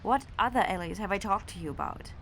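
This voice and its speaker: A woman acting as a young female office worker in an audio drama, with a mid-pitched voice. She sounds jaded and carries resentment under her words. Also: fast talker, a bit bright, natural voice. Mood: guarded.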